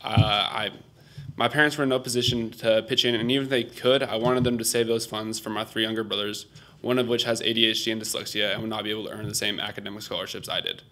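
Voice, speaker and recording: A man's voice: on the low side, fairly even intonation, quick pace, sharp tone, poor audio. A young man, a college sophomore, giving an earnest prepared speech about his own path to college. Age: young adult. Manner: earnest, personal storytelling. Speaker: student speaker.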